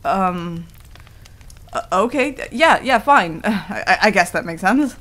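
Woman playing a slow-talking, flustered tsundere werewolf girl. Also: mid-range voice, lively intonation, fairly bright tone. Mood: flustered, defensive. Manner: stammering, defensive, huffy. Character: flustered, stubborn tsundere female werewolf, young, secretly affectionate. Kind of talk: ASMR roleplay acting.

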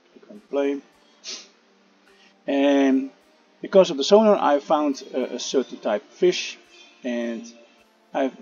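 A man narrating a casual gameplay tutorial, speaking haltingly with frequent "uh" fillers and restarts. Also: medium pitch, warm tone, muffled recording. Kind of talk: casual game tutorial voice-over.

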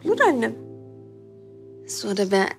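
A gentle TV drama mother in her thirties. She has a high voice and a tender, doting way of talking, full of endearments. Faint music plays behind her. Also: sing-song, unhurried, creaky, full character voice. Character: gentle, doting young mother, humble and affectionate. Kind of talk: television drama acting.

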